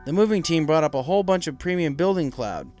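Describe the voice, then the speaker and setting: A man's voice: fairly high pitch, animated, quick pace, mellow, voice pushed up, close to an everyday voice. Supportive male pegasus husband man reading, easygoing and caring, storybook dialogue over music. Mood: chatty.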